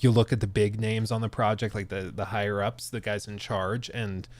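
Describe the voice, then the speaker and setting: A man's voice: fairly low pitch, flat delivery, moderate pace, no room sound. A man hosting a casual livestream about gaming news, talking in an informal, slangy, chatty way. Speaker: podcast host.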